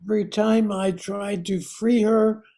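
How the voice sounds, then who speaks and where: Casting: haunted male author played by a woman, anguished and halting, mellow timbre, unhurried, radio drama. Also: mid-range voice, fairly even intonation, close to an everyday voice. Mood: haunted confession. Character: desperate tormented male famous writer, haggard and pleading.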